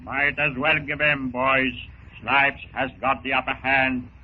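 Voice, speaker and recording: A man's voice: medium pitch, little pitch movement, unhurried, muffled recording, natural voice. Man playing a scheming pirate henchman, thin old recording. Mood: grudging, placating. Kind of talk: 1930s radio serial acting. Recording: thin, hissy 1930s radio recording.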